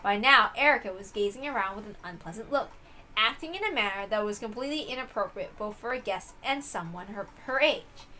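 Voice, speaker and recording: A woman reading a visual novel aloud as expressive story narration. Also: medium pitch, brisk.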